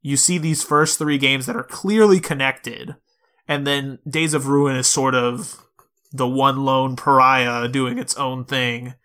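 A man's voice: medium pitch, fairly even intonation, slow pace, sharp tone. A man co-hosting a podcast, talking through video games in a conversational, reasoned way with asides. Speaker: podcast co-host. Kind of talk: casual video game discussion.

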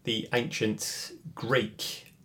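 A man's voice: mid-range voice, flat delivery, drawn-out words, sharp tone. A man co-hosting a podcast, speaking in a thoughtful, casual way with many fillers and restarts. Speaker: podcast co-host. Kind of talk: casual reflective chat.

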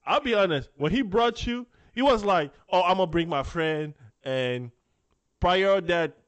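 Brief: male co-host, first language French, high, lively voice, laughing storyteller. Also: measured pace. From Africa. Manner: animated storytelling, laughing often. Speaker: podcast co-host. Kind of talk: casual chat.

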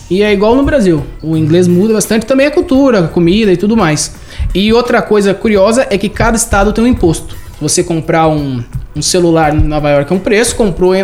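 A man's voice: sits high, animated, brisk, a little mellow. A man with a Brazilian accent sharing casual anecdotes as a guest in a conversation.

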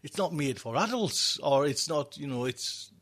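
A man with a very bright, forceful voice chats as a podcast host. He talks conversationally and loosely, circling back over his phrases and leaving thoughts unfinished. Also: fairly high pitch, animated, moderate pace, slightly rough. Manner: conversational, repeats himself, trails off mid-thought. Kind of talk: casual rambling chat.

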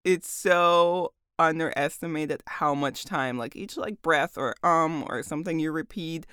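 A woman voice actor with a deep voice, chatting casually about her work, laughing often and using lots of fillers. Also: unhurried, fairly bright tone, clean tone, no room sound, studio quality. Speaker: voice actor and audiobook narrator.

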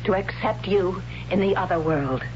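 An actress as a torn, halting warrior woman. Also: medium pitch, darkish tone, soft background music, close to an everyday voice. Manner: halting, hesitant, breaking into tears. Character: troubled young warrior woman torn by forbidden love. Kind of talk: 1970s radio drama acting. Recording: muffled old radio broadcast with hiss.

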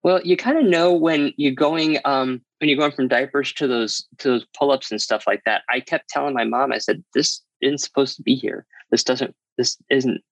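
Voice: mid-range voice, normal speed, hardly any pauses, no echo, highs cut off. An interview guest telling a personal story in a candid, explanatory, matter-of-fact way.

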